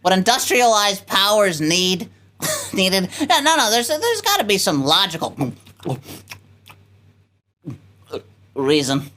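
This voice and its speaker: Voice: mid-range voice, huge pitch swings, measured pace, bright, forceful tone, heavily acted. A performer playing a flustered, stubborn male spaceship captain, stammering and hesitant in a comic radio play. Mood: incredulous. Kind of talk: cold-read comic radio sci-fi play.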